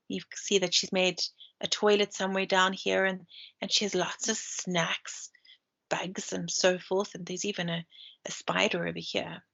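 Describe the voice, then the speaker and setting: A woman's voice: mid-range voice, vocal fry, a bit bright. A woman teacher doing a read-aloud story time for children, chatting and explaining the pictures as she goes, over a computer microphone. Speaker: primary school teacher. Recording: dull lo-fi screen-share recording.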